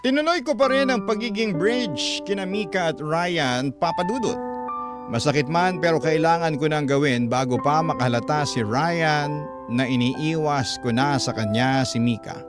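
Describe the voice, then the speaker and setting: Filipino male storyteller over loud music. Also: on the high side, normal speed. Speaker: narrator reading a listener's letter. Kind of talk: dramatic first-person story narration. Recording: prominent music under the voice. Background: loud background music.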